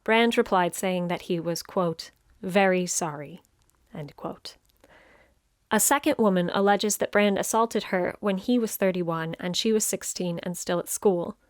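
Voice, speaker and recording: A woman reading a scripted news report in a measured, formal manner. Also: fairly low pitch, no echo, studio quality. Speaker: guest voice reading quotations.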